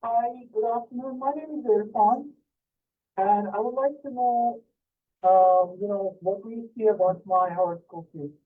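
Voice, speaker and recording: A man's voice: high-pitched, measured pace. A male caller in his fifties, originally from Pakistan, asking hesitantly and politely about his future over the phone. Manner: polite, hesitant, many fillers. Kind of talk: phone-in call. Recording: tinny phone line.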